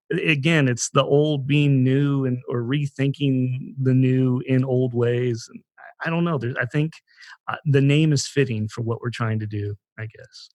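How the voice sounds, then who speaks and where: A man's voice: medium pitch, dry recording. A man hosting a podcast in a conversational introductory style, often thinking aloud and rephrasing as he goes. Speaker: podcast host.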